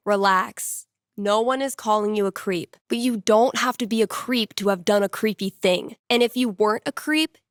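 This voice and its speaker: A dry, close-recorded voice of a young woman radio DJ in a video game, acted by a woman. She is candid and quick-witted, with a mid-range pitch. Her delivery is conversational and wryly sarcastic, like casual on-air patter. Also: fairly bright tone, no echo, close to an everyday voice. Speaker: radio host.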